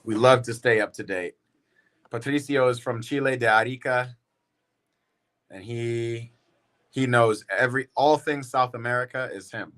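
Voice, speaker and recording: A man's voice: medium pitch, measured pace, long pauses, a bit bright. A man hosting a football watch-party livestream, chatting casually and tiredly with viewers in slangy talk. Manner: slangy and laid-back, calls viewers bro and y'all.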